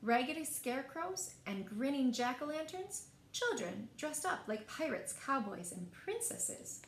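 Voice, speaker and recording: Female children's storyteller, lively and expressive picture-book read-aloud, roomy sound with faint noise. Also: high voice, a little slow. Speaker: library storyteller. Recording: echoey room, faint background noise.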